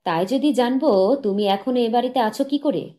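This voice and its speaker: An embittered, sick husband from an audiobook story, acted by a woman. The voice is loud, accusing and jealous, lifted in pitch and pressed hard on the words. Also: normal speed, close to an everyday voice. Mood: jealous anger. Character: bitter, diseased, jealous husband raging at his wife. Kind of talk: dramatised audiobook reading.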